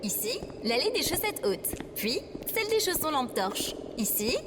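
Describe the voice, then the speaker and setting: A woman acting as a female AI companion in an audio comedy, high-voiced and swooping in melody. She is irritatingly polite, precise and bossy, with a chirpy sarcasm. Clear ambient noise runs behind her. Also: very animated, a little slow. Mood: precise, upbeat. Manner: formal, precise, playfully bossy. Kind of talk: acted audio comedy drama.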